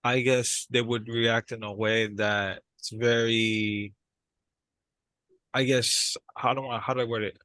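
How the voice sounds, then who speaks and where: A man's voice: medium pitch, level tone, slow, pushed and bright, dry recording. An actor playing an earnest younger brother in a therapy session, groping for words as he speaks. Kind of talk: improvised dramatized therapy session.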